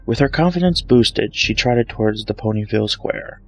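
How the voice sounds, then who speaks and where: A man's voice: animated, normal speed, slightly rough, rough recording. A man reading a fan fiction story aloud as a dramatic scripted narration. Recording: low-grade home microphone, music bed. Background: soft dramatic background music.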